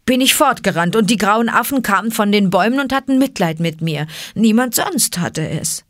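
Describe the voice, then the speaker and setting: A woman's voice: medium pitch, normal speed, dry recording, clean studio sound, pitched above the performer's own voice, lightly acted. A woman voicing Mowgli, an eager jungle boy. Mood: unsure. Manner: excited, insistent, pleading. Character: young human boy raised in the jungle, eager and defiant.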